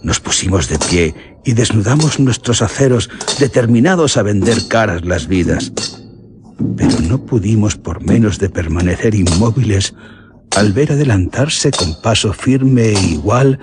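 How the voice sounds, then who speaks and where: A man's voice: on the low side, lively intonation, a little slow, noisy background. An actor playing a grave, ominous bandit who tells his story theatrically in a radio horror drama, with a Castilian Spanish accent. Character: bandit recounting his gang's dark deeds, grave and ominous.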